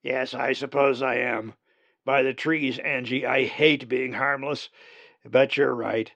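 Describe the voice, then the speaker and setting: A man voicing an old, tattered, one-eyed male raven with a raspy, theatrical, booming manner. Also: flat delivery, slow, dry recording. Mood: grand, theatrical. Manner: grand, loud, theatrical. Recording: dull lo-fi recording.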